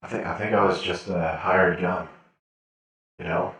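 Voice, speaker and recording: Man playing a very deep, echoing captive killer. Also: steady tone, unhurried, a bit bright. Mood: flat, evasive. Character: captured male hired killer, laconic, menacing attack dog. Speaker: role-player in an online game. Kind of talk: improvised sci-fi role-play dialogue. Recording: heavily echoing, distant-sounding voice.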